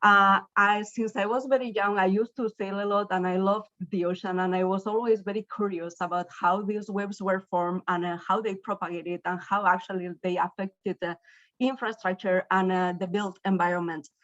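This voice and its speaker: A woman from northern Spain with a Spanish accent, giving an academic keynote talk as a professor in a somewhat halting, self-repeating way. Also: mid-range voice, steady tone, fairly bright tone, no echo, muffled recording. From northern Spain, Basque Country. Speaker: university professor, invited keynote speaker. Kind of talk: academic presentation.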